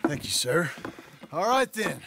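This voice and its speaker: An actor playing a gruff, wary Old West rancher in a video game, with a terse, sceptical American drawl. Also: male voice, fairly high pitch, very animated, a little slow, noisy background, heavily acted. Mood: dry reserve. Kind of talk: cinematic video game dialogue.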